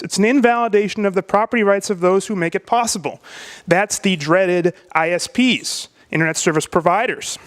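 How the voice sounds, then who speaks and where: A man delivering a prepared debate speech, emphatic and rhetorical, with deliberate pauses. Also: high voice, a bit bright.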